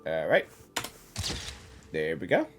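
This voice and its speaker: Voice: a bass voice, wildly swooping pitch, slow pace. A gaming streamer reacting casually and playfully to a game, repeating phrases and talking back to it. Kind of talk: casual excited gameplay reaction commentary. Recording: game music behind the voice.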